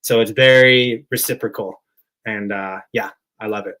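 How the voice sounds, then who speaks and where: A man's voice: on the low side, steady tone, moderate pace, rough recording. Earnest man chatting on a call. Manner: earnest, warm, lots of "like" fillers. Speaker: musician guest. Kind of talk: casual interview chat.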